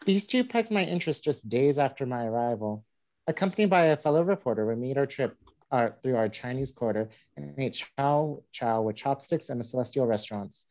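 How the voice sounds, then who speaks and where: A man's voice: mid-range voice, animated, brisk, darkish tone, highs cut off, lightly acted. A young man reading the part of a wry frontier newspaperman, giving a florid monologue in a staged play reading. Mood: wry, musing. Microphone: video call.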